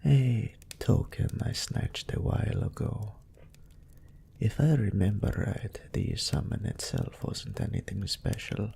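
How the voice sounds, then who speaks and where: Man voicing a gravelly, smug incubus demon. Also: lowish voice, a little slow, dark tone, slight background noise. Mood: smug, musing. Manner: languid, smug, sardonic musing. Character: smug, lustful male demon incubus, sly schemer. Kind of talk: scripted character monologue in audio roleplay.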